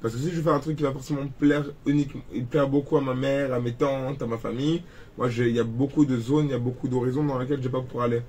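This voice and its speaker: A man's voice: mid-range voice, fairly even intonation, fast, darkish tone, husky. A man in his twenties from Cameroon, a rapper talking about his start in music in a casual interview, rambling with many restarts and fillers. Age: 20s. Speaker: rapper guest.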